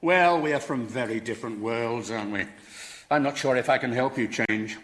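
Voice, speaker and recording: A man's voice: mid-range voice, normal speed, a bit bright, natural voice. Man as earnest, disapproving professor in echoing hall. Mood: patient disapproval. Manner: reproving, patient, disapproving. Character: earnest, prim, morally upright male science professor. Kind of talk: radio sitcom acting. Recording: echoey hall, very noisy background.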